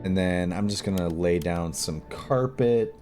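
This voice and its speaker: A man's voice: low voice, unhurried, a little mellow, soft background music. A man hosting a video tutorial in a casual, informal way, thinking aloud as he works through the steps. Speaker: video tutorial host and game modder. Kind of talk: casual screen-recorded tutorial narration.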